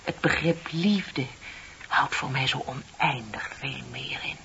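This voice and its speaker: An actress playing a heartbroken, ashamed young woman confessing in a radio play, dramatic and drifting into breathy near-whispers. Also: very deep voice, slow pace, heavily acted. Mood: bitter, anguished. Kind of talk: Dutch radio play acting. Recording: muffled radio play recording with tape hiss.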